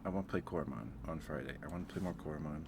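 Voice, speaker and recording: A man's voice: extremely low pitch, very fast, noisy background. A man hosting a casual livestream, thinking aloud in a hesitant way. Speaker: livestream host.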